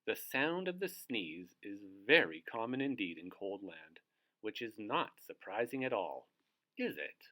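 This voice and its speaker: A man reads a storybook aloud to young children. He takes his time, swings his pitch widely and plays up the theatre of it, talking straight to his little listeners. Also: very animated, measured pace, pushed and bright.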